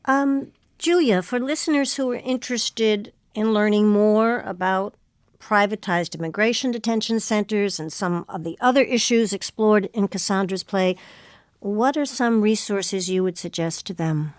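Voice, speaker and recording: A woman hosting an interview, putting prepared questions in a measured, articulate way. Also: mid-range voice, no echo, studio quality. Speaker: interview host.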